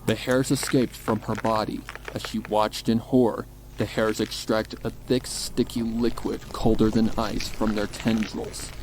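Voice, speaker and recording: A man reading a dark horror story as a dramatic scripted narration. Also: lowish voice, flat delivery, a little slow, few pauses, rough recording. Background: music.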